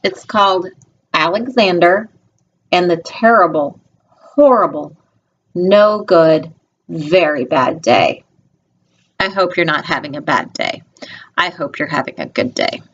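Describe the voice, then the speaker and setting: A woman with a fairly low voice reads a children's picture book aloud. She is expressive and informal, speaking straight to her young listeners with chatty, gently instructive asides. The recording is slightly dull and lo-fi, like a home video. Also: takes it slowly, pauses often.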